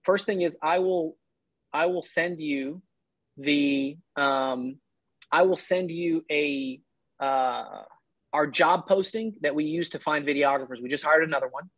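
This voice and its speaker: A man's voice: a little high, unhurried, fairly bright tone, no room sound, low-quality recording. Blunt, emphatic man on a dry call. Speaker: business coach giving advice. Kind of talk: casual one-on-one advice call. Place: indoor room, video call. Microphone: video call.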